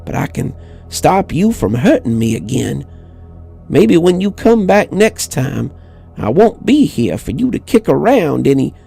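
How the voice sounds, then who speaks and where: A man voicing a frail, bitter old widow in a horror story, speaking slowly and dramatically. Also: fairly high pitch, very animated, creaky, mellow, husky, soft background music, full character voice. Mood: resolute. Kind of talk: acted horror story dialogue.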